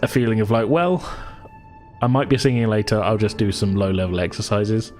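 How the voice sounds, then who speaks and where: A man's voice: on the low side, a little fast, a little mellow, soft background music. A man narrating a tabletop roleplaying game as game master, casual, chuckling and rambling.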